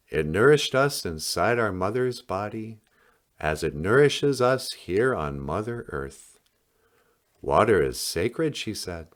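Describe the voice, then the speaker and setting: A man reading a picture book aloud in a solemn, dramatic storytelling style with deliberate pauses. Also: deep voice. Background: music.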